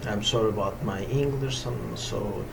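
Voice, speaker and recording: Russian accent guy, yoga teacher chatting, meditative and halting, mic kinda far away, noisy room. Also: on the low side. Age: middle-aged. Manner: meditative, halting, searching for words. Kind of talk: casual talk to camera. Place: small indoor room at home ashram. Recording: distant mic, clearly noisy room sound.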